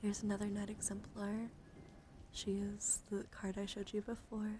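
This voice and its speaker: A young woman livestreaming casual ASMR card chat, with a breathy voice that often slips into whispers and nerdy, coaxing, rambling asides. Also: medium pitch, monotone, normal speed. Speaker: livestreamer showing her card deck. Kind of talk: casual ASMR hand-cam chat with viewers. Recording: noticeable background noise.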